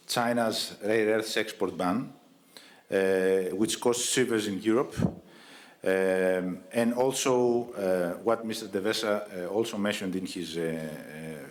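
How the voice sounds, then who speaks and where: A man moderating a conference panel, framing a question to a speaker with frequent ums and hesitations. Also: fairly low pitch.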